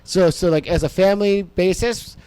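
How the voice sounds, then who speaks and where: A man's voice: high-pitched, steady tone, moderate pace, dark tone, smooth, no echo, rough recording. A young man whose first language was Amharic, a comedian talking casually in an interview, rambling and profane with many fillers. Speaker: stand-up comedian, podcast guest.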